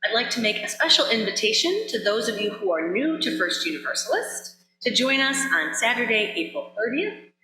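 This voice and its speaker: A woman minister giving a measured, deliberate welcome and announcements at a church service, recorded in an echoing sanctuary. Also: on the high side, big pitch swings, sharp tone.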